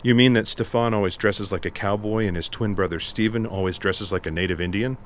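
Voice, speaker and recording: Casting: unflappable special agent played by a man, low-pitched, dry, deadpan and wordy, comic sci-fi radio theatre. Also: a little fast, a little hiss or hum, natural voice. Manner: deadpan, matter-of-fact, wordy. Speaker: voice actor.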